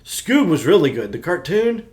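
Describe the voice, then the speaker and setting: A man's voice: fairly high pitch, big pitch swings, moderate pace, a little mellow. A man co-hosting a podcast in casual chat, speaking in an enthusiastic, opinionated and informal way. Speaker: podcast co-host.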